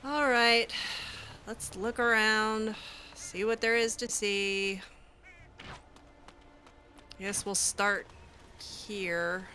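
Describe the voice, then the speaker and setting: Slow-paced, bright-voiced woman gamer. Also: mid-range voice, steady tone, faint music behind. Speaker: gaming video host, role-player. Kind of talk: casual in-character gameplay commentary.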